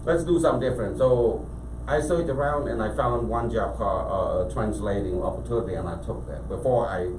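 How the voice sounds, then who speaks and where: A man from Cambodia with a Cambodian accent, a business owner telling his story as a guest in a casual interview, animated and full of restarts. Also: fairly low pitch, a little fast. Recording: echoey room, mic at a distance, faint background noise.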